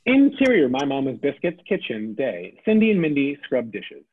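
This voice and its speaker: A man with a husky voice reading out stage directions as scripted narration at a table read. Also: medium pitch, huge pitch swings, moderate pace, narrow, tinny audio.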